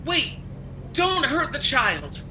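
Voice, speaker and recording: A woman's voice: high voice, big pitch swings, slow, pushed and bright, full character voice. A woman playing an anxious, humbly polite householder in a radio play, hesitant and deferential. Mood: pleading. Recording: noisy home video-call recording.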